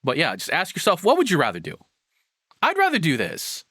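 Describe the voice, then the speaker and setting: Guy streamer rambling his opinions, swears a bit, bright crisp voice, super dry mic. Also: on the high side, huge pitch swings, fairly quick, no echo. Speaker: streamer reacting to a video. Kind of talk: casual livestream commentary.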